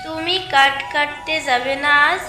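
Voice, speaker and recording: A woman's voice: high voice, measured pace. A woman voicing a woodcutter's anxious, caring wife who pleads with the landlord. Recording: echoey room with music behind.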